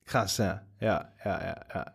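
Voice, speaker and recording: A man's voice: deep voice, a little slow, gravelly, background noise. A man in his late twenties co-hosting a podcast in casual chat, talking emphatically and laughing often. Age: 20s. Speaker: podcast co-host.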